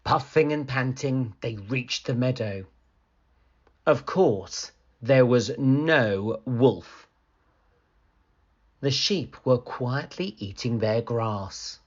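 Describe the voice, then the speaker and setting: A woman reading a picture book aloud for children in an animated, deliberate storytelling manner. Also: a bass voice, highs cut off.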